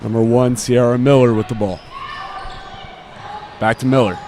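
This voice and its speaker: A man's voice: mid-range voice, fairly even intonation, moderate pace, darkish tone. Young man calling basketball over gym noise. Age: teenager or young adult student. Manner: clipped phrases calling each action. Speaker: student play-by-play announcer. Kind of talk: live basketball play-by-play commentary. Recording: noisy gym, bouncing balls behind. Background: gym ambience and basketball bounces.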